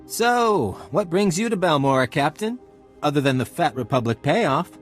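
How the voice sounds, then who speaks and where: High-pitched brash rebel. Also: male voice, big pitch swings, normal speed, faint music behind. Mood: brash, scheming. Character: brash, cynical resistance rebel hungry for profit. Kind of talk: video game acting.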